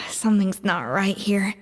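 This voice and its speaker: A woman's voice: medium pitch, husky. A woman voicing an earnest, concerned young woman in an anime game. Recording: long reverb, music behind.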